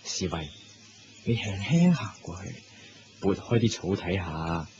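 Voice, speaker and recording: A man's voice: sits low, very animated, a little slow, noisy background, heavily acted. A man with a Hong Kong Cantonese accent acting a commanding, sly king out hunting in a radio drama. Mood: confident, gloating. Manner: imperious, giving orders. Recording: dull lo-fi radio recording. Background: music.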